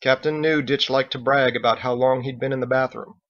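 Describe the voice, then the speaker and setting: A man's voice: medium pitch, steady tone, a little fast, fairly bright tone, dull audio. A man reading his own story as a scripted audiobook narration.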